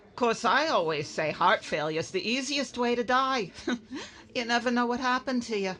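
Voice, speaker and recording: A woman's voice: medium pitch, bright, forceful tone. A woman playing a nosy, callous funeral gossip in a 1930s-style radio drama, chatty and exaggerated.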